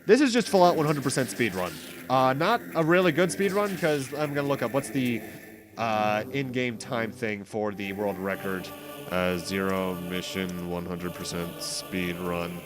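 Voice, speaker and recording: A man's voice: on the low side, measured pace. A man giving casual, rambling let's play commentary, explaining things and correcting himself as he goes. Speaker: host of a video game playthrough series. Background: game music.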